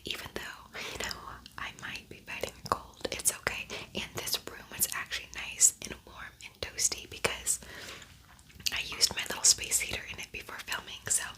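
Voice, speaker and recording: A woman whispering softly and breathily as an ASMR host, lingering on and repeating her words. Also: low-quality recording. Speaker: ASMR host doing a makeup tutorial.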